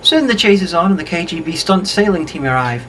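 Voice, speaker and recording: A man's voice: high voice, lively intonation, brisk. A man reading a scripted comedic plot recap as co-narrator, in a dry, wry manner. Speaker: co-narrator of a comedy film review. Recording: faint background noise.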